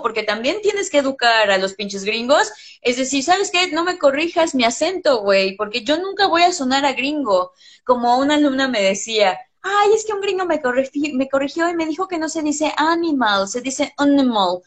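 Blunt, high-voiced woman. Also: very animated, normal speed. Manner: blunt, passionate, swears freely. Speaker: English teacher. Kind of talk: casual interview chat.

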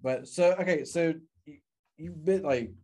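A man's voice: a little high, measured pace, slightly rough. A man co-hosts a podcast in a mid-range voice. He speaks casually and loosely, filling his questions with small hedging words. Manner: relaxed, filler-heavy speech. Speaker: podcast co-host.

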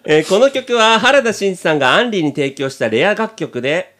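A man's voice: high-pitched, lively intonation, moderate pace, fairly bright tone. A man hosting a podcast, chatting casually and reading out listener letters.

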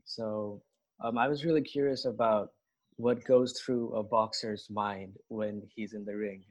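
A male podcast co-host slowly asking a curious question. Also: fairly low pitch, steady tone, a little mellow. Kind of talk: casual interview question. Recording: dull lo-fi recording.

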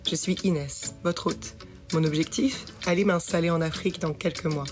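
A woman's voice: fairly low pitch, moderate pace, soft background music. A woman in her thirties hosting a podcast interview, easygoing and hesitant with frequent fillers in conversation. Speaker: podcast host. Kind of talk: scripted podcast intro, then casual interview chat.

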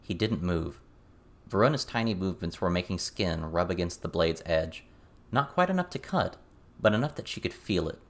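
Low-voiced man narrating fiction. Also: expressive, normal speed. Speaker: audiobook narrator.

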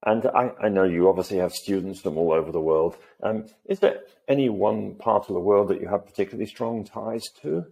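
A man hosting a podcast, asking polite, measured questions. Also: lowish voice, creaky, a little mellow, husky. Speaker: podcast host.

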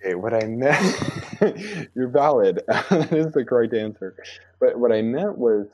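A man's voice: medium pitch, lively intonation, measured pace, darkish tone, no room sound. A man with an American accent chatting casually and playfully, with lots of filler words. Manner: loose and playful, full of "like" fillers. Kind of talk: casual chat. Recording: slightly dull, dry sound.

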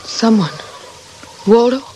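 An actress playing an anxious, regretful married woman in a 1940s radio drama, with a husky voice. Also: fairly high pitch, lively intonation, takes it slowly, mellow, slight background noise. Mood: nervous, questioning.

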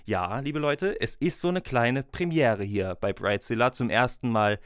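A man's voice: medium pitch, moderate pace, clean tone, dry recording, studio quality. A man hosting a podcast, introducing topics and addressing his listeners and guests. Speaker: podcast host, freelance wedding speaker.

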